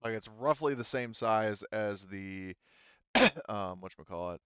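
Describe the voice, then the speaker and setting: A man running a tabletop roleplaying game as game master, narrating off the cuff in a halting, casual, sweary way. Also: on the low side, a bit bright, clean tone, no room sound, muffled recording.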